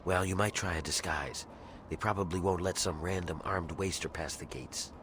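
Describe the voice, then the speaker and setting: An actor playing a grim, embittered slave rebel in a video game, gruff and conspiratorial as he schemes for freedom. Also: low voice, normal speed, natural voice. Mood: grim, determined. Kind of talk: video game character dialogue. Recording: clear ambient noise behind voice.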